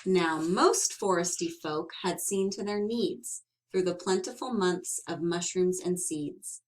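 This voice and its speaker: A woman reading a children's picture book aloud as an expressive storyteller, with dramatic emphasis and drawn-out words. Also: on the low side, rough recording.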